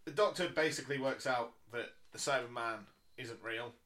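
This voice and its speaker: Casting: male podcast co-host, medium pitch, bright sharp tone, loose and informal recapping style. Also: normal speed. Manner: informal, sweary. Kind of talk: casual chat recapping a TV episode.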